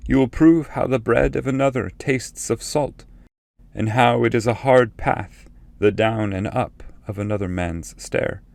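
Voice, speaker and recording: A man voicing a solemn, gravelly ancestral spirit. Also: medium pitch, darkish tone, dry recording. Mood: grave, prophetic. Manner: solemn, measured, declamatory. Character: grave, fatherly ancestral spirit foretelling exile.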